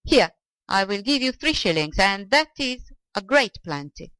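A woman's voice: medium pitch, big pitch swings, a little slow, a bit bright, no room sound. A woman voicing a stingy, whining and vengeful old man from a storybook, exclaiming and pleading. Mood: grudging. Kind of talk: storybook character voice.

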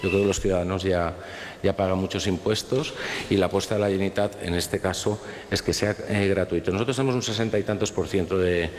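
A man with an accent from Spain, speaking in a halting, conversational way in an informal interview. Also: deep voice, fairly even intonation, a little mellow. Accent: Spanish from Spain. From Valencia region, Spain. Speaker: guest, public cultural centre manager. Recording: reverberant hall with faint noise.

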